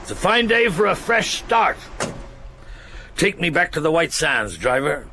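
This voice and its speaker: An actor playing a shrewd male chief inspector in a radio drama, with a gravelly husky voice and measured, dry delivery. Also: on the high side, very animated, background noise, heavily acted. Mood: brisk, kindly.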